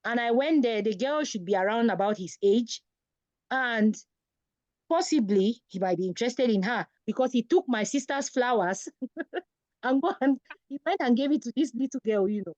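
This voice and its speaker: A woman's voice: a little high, animated, moderate pace, a little mellow, no room sound, lo-fi sound. A woman, a guest parent on a talk show, telling personal stories in a conversational way.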